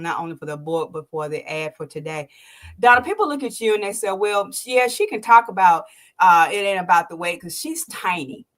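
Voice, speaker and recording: A woman in her sixties tells her story in an animated way, with a mid-range voice. She acts out what others said with sudden jumps in pitch and loudness, then drops soft and low at tender moments. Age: 60s. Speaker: podcast host. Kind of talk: emotional interview testimony.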